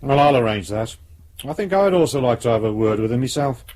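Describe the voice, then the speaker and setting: An actor as a measured British police superintendent. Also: male voice, mid-range voice, lively intonation, a little mellow, close to an everyday voice. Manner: formal, deliberate. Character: measured, authoritative senior male police superintendent. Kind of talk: radio drama acting.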